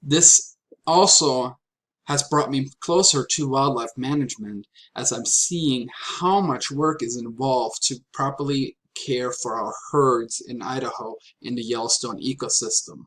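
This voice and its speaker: A man from Germany giving a prepared slide presentation as a graduate student, with deliberate, halting phrasing and pauses. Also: mid-range voice, fairly bright tone, rough recording. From Franconia, Germany.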